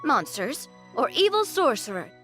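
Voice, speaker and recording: A woman playing a well-bred young lady in a video game, expressive, polite and inquisitive. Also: high voice, moderate pace, soft background music. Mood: curious, animated.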